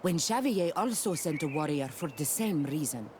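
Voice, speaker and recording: A woman's voice: low-pitched, lively intonation, normal speed, background noise. A woman playing a resolute native warrior in a video game, speaking earnestly and directly. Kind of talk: scripted video game acting.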